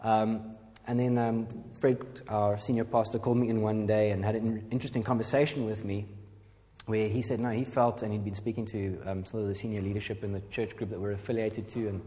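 A man's voice: on the low side, little pitch movement, a little fast, dark tone. A middle-aged man telling a personal testimony as a pastor, rambling and self-deprecating, with many fillers. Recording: hall reverb.

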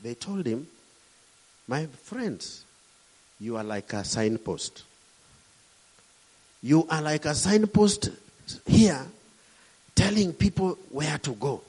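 A man's voice: on the high side, expressive, takes it slowly, pauses often, a little mellow, slightly rough, low-quality recording. A middle-aged man from Kenya with a Kenyan accent, preaching a sermon with emphatic, rising and falling delivery.

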